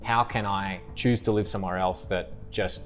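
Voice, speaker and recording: A young Australian man, a guest in a casual interview, talking in a relaxed, rambling way with restarts. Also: low voice, moderate pace, soft background music.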